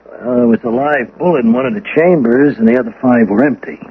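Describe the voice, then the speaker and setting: An actor in a radio drama playing a weary, patronizing police lieutenant with a dry, hesitant, condescending manner. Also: male voice, mid-range voice, brisk, a little hiss or hum, lightly acted. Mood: businesslike. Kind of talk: 1980s radio drama acting. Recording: muffled narrow-band old radio broadcast.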